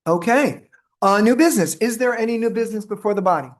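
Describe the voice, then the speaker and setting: A woman chairing a town council meeting, moving briskly through procedure with frequent fillers. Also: a little high.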